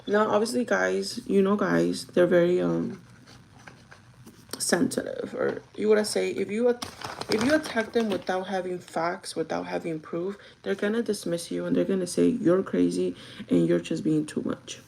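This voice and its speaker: A young woman with a gravelly, groggy voice chats casually and directly to her viewers, giving advice in an informal vlog style. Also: on the low side, fairly even intonation, normal speed, background noise. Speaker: vlogger chatting while doing makeup.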